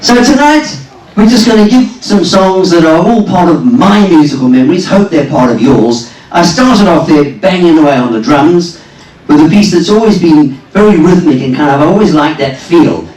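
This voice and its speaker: A man's voice: fairly high pitch, animated, normal speed, a little mellow. An older man from Zimbabwe, a cruise director and musician, chatting and joking with a lounge audience between songs. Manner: self-deprecating, joking with the audience. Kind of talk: live stage patter between songs. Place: ship's lounge stage. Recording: roomy, from a distance.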